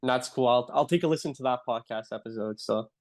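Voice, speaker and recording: A young adult man from Canada with a Canadian accent, a guest in a casual interview, talking in a relaxed way and saying "like" often. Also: medium pitch, fairly quick. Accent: Canadian English. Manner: relaxed, filler-heavy, says "like" often.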